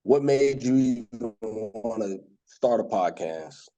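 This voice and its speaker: A man hosting a podcast asks his guest questions on a video call in a slow, laid-back way. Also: medium pitch, lively intonation, warm tone. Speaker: podcast host. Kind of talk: casual video-call interview.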